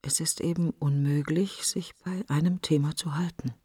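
An actress playing a middle-aged, grieving, sardonic woman, thinking aloud in fragments in a radio-play monologue, often in a breathy near-whisper. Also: low-pitched, fairly even intonation, normal speed, warm tone, no room sound, studio quality. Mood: bitter brooding. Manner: fragmented, musing, questioning, sardonic. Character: grieving, bitterly wry successful woman thinking aloud while driving.

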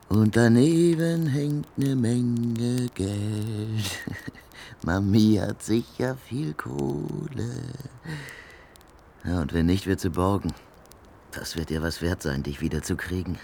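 A man's voice: on the low side, creaky, warm tone, a little hiss or hum, heavily acted. An actor playing a greedy, scheming homeless man in a German radio crime drama, crooning and muttering with sly glee. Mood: crooning, gloating. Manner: slow, crooning, swinging into muttering and chuckles.

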